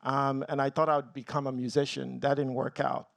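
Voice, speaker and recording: A man's voice: mid-range voice, level tone, brisk, gravelly. Earnest Nigerian-born man on a panel. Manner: earnest, gets emotional, many ums. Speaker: tech team leader on a panel. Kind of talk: personal story on a panel.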